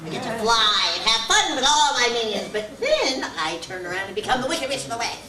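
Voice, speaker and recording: A man in witch character, speaking in a high, theatrical, sing-song voice as a theme-park witch villain. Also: big pitch swings, brisk. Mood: playful. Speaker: costumed witch performer. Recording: roomy hall, heard from far, noisy.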